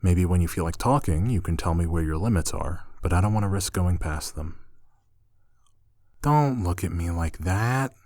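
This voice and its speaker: A man acting an affectionate, teasing roommate in an intimate ASMR roleplay, soft-spoken and playful. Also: deep voice, animated, moderate pace, no room sound, clean studio sound. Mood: gentle, reassuring. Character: affectionate, teasing male roommate gently pampering a shy catgirl.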